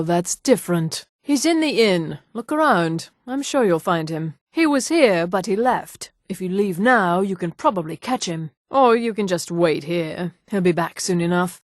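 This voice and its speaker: An actress voicing a haughty, poised high elf woman, a darkly devout assassin in a fantasy video game, with a formal, measured and dryly superior manner. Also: dry recording, studio quality. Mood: curt, aloof. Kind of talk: scripted video game character dialogue.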